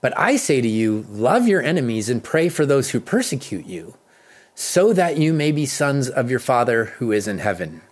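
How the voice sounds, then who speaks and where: A man with an American accent speaking as a pastor, reading a devotional in an earnest, deliberate way with pauses for emphasis. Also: mid-range voice, sing-song. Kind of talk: read devotional with earnest teaching and prayer.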